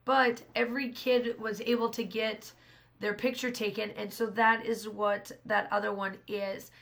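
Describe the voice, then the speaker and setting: Woman with a hoarse, scratchy voice. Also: fairly high pitch, little pitch movement, measured pace, fairly bright tone. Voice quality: rambling, restarts often. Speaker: scrapbooking channel host. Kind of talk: casual voice-over narration of a craft process.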